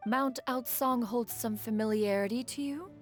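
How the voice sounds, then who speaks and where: A woman voicing a dignified female immortal inventor in a game, calm and speaking in a formal, archaic way. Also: fairly high pitch, moderate pace, soft background music, natural voice. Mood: composed. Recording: dry studio game dialogue.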